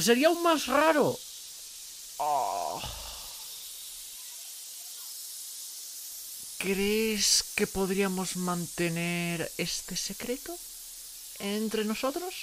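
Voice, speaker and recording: Man playing a nervous, animated gorgon artist. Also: high-pitched, a little hiss or hum, full character voice, poor audio. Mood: anxious, apologetic. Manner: hesitant, flustered, exclamatory. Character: nervous, gentle male gorgon working as gallery artist. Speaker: voice actor in an audio roleplay. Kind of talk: dramatic ASMR roleplay monologue.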